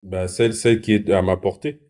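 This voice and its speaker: A man from the Republic of the Congo, a guest in a live online debate, who answers calmly and with some hesitation. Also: low voice, fairly quick.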